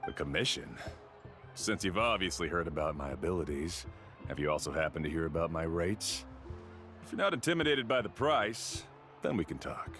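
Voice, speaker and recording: A man's voice: deep voice, lively intonation, moderate pace, a bit bright. A man voicing an arrogant, mercenary guide in a video game, condescending and boastful. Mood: haughty. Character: arrogant, mercenary top adventure guide, scornful and self-assured. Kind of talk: video game character acting. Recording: faint music behind the voice.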